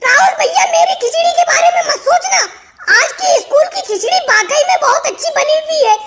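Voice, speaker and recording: A performer voicing an insistent, shrill cartoon girl, a little sister guarding what is hers. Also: expressive, normal speed, shouting, very noisy. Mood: gleeful, refusing. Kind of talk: comic cartoon dialogue.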